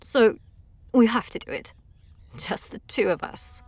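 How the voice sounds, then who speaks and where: Earnest resolute young knight. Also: female voice, on the high side, sing-song, unhurried, dry recording, soft background music, full character voice. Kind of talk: audio drama acting.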